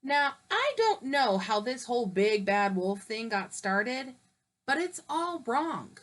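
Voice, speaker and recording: A chatty storybook wolf pleads his innocence in a slow, lively telling, read by a woman in her own fairly high voice. He talks to the listener like an old friend, drawing out each word. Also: full character voice. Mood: persuasive. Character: smooth-talking male wolf telling his side.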